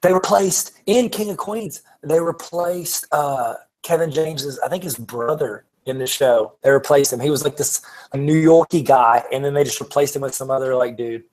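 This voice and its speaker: A man co-hosting a podcast in casual joking chat, halting and often trailing off. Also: medium pitch, a little mellow, low-quality recording. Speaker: podcast co-host.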